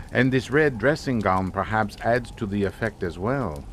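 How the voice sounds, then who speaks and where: An actor playing a suave, sinister aristocratic count in a radio suspense drama, speaking in a formal, courtly, deliberate way. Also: male voice, fairly low pitch, fairly bright tone, slight background noise. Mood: amused, urbane.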